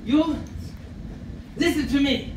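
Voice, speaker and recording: Actress as slow, high-pitched, obsessed professor. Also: female voice. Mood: bitter, fervent. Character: obsessed, imperious archaeology professor with a grudge. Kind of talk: theatrical stage acting of a radio play. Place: small theatre stage. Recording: echoey theatre, distant mic, noisy background.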